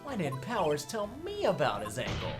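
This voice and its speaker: A woman's voice: deep voice, wildly swooping pitch, moderate pace, music in the background. A woman voicing a cocky, quirky young male defense lawyer in an amateur game dub, with wry asides and pointed questions. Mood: probing, puzzled.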